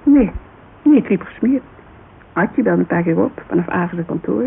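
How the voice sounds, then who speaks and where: A woman's voice: lowish voice, normal speed, creaky. A woman playing a chatty, loyal businesswoman giving a witness account in a naturalistic radio drama, telling it informally with digressions. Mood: earnest, loyal. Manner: informal, digressive, animated storytelling. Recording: muffled, hissy old radio recording.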